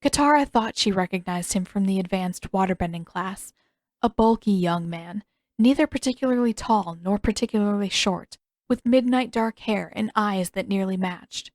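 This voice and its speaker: A woman narrates a piece of written fiction as a storyteller. Her voice is medium in pitch, and the recording is dry with no room sound. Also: moderate pace, no room sound. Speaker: podfic narrator and author.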